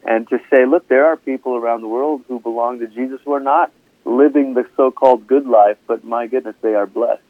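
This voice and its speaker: A man's voice: medium pitch, fairly even intonation, brisk, no echo. A man in his forties from Malaysia, a guest pastor talking earnestly in an interview, often restarting his sentences. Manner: earnest, preacherly, with frequent restarts. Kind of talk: conversational interview. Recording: tinny phone line.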